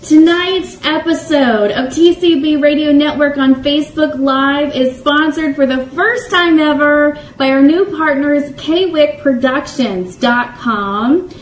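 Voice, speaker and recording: A woman's voice: sits high, a little slow, hardly any pauses. A woman hosting a livestream show, chatting enthusiastically to viewers as she reads announcements and sponsor plugs. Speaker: radio network show host. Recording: roomy echo, distant mic, faint noise.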